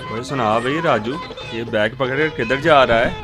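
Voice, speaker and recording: A man's voice: medium pitch, moderate pace, close to an everyday voice. Guy playing a cocky chatty old-lahore barber in a radio play, music under him. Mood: brash, curious. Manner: colloquial, bantering, swaggering. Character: brash, friendly neighbourhood barber of old Lahore. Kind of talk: radio drama acting.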